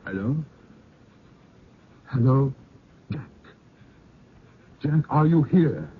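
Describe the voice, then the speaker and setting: A man's voice: lively intonation, extremely slow. A man playing a stubborn, fearful old uncle in an old-time radio drama, with an emphatic, theatrical delivery. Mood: determined. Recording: dull, noisy old radio recording, rumble.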